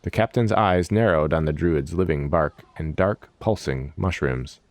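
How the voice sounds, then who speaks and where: Deep-voiced man narrating dramatic fantasy. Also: unhurried, no echo.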